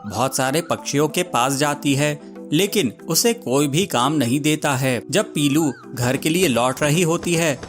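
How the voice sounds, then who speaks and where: A man's voice: a little high, unhurried. A man giving scripted narration of a children's story as a storyteller. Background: music.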